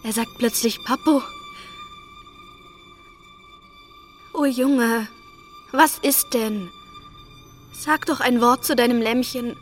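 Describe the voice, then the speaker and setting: A steadfast young working-class wife in a radio play. Her voice sits on the high side, earnest and plain-spoken, with tenderness under the firmness. Faint music runs behind her. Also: wildly swooping pitch, slow, heavily acted. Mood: tender, anxious. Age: young adult. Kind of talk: naturalistic radio drama acting.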